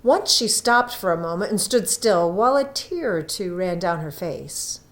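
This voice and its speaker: A woman reading a story aloud as scripted narration, with dramatic emphasis and drawn-out phrases. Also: on the low side, animated. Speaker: audiobook reader.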